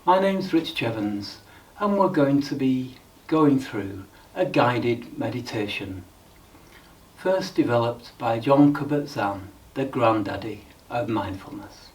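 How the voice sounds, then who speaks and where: A man leading a guided meditation in a calm, measured, instructional way with frequent pauses. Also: medium pitch, distant mic, rough recording.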